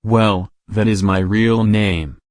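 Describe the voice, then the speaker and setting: Guy doing kindly old santa for a radio play, low voice, polite and earnest. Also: fairly even intonation, slow, darkish tone, no echo, studio quality. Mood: kindly, twinkling. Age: older. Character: kindly old gentleman Santa Claus and hearty store boss. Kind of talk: radio drama acting.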